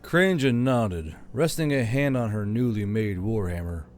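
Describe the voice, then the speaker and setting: A man's voice: fairly low pitch, lively intonation, a little slow, faint music behind. A man narrating a scripted audiobook story in the role of narrator.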